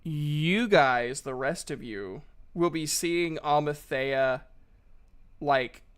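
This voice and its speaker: Slow, halting man running a game. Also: on the high side, clear, resonant tone. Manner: halting, pausing to think. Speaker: game master. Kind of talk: improvised tabletop game narration.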